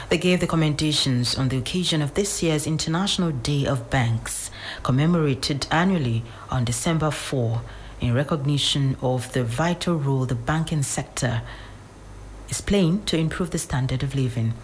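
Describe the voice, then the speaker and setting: A Nigerian woman reading scripted radio news in a formal, measured way. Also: deep voice, a little hiss or hum. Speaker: radio newsreader.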